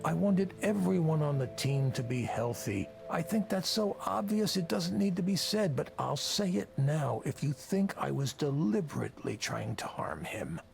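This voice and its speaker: An actor playing a persuasive, defensive tech project leader in a visual novel, speaking emphatically and theatrically in self-justification. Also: male voice, a little high, sing-song, normal speed, vocal fry, hardly any pauses, a little mellow, soft background music, exaggerated voice. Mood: defensive, pleading.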